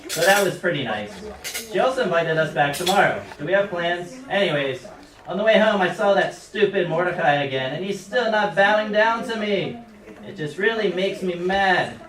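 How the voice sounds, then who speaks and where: A man's voice: high voice, lively intonation, moderate pace, crowd noise. Echoey, chatty, petty villain, played by a man. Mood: aggrieved. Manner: casual, chatty grumbling. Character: Haman, petty, resentful villainous husband, chatty and aggrieved. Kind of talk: amateur puppet show acting. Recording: echoey room, distant mic, clattering noise.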